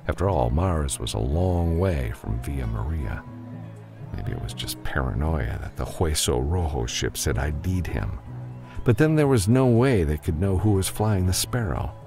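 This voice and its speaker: A man narrates science fiction in an extremely low voice with a gravelly fry. His reading moves expressively up and down, and it is delivered as a scripted audiobook. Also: animated, moderate pace, dark tone, soft background music.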